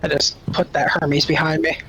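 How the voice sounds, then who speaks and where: A man's voice: a little high, completely flat delivery, normal speed, bright, forceful tone, slight background noise, low-quality recording. A man talking casually as a player working through his turn in a tabletop game.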